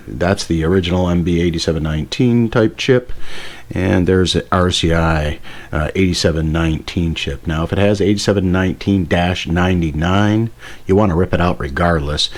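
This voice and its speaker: A man with a low-pitched voice presents gear in a casual, hands-on way. He rambles enthusiastically and often corrects himself as he goes, like a hobbyist explaining a favorite piece of equipment. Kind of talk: casual hands-on product demonstration. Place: indoor workbench.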